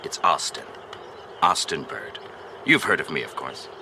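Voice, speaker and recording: A man's voice: extremely low pitch, lively intonation, unhurried, gravelly, bright, forceful tone, slight background noise, exaggerated voice. An actor playing a pushy, boastful property developer in an audio drama, with a salesman's overfamiliar manner. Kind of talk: audio fiction drama acting.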